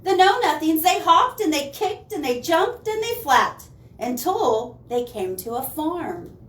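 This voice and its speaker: High-pitched woman reading animatedly to children. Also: unhurried. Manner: expressive, asks listeners playful questions. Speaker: library storyteller. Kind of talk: animated children's picture-book read-aloud. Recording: echoey room, distant mic, faint noise.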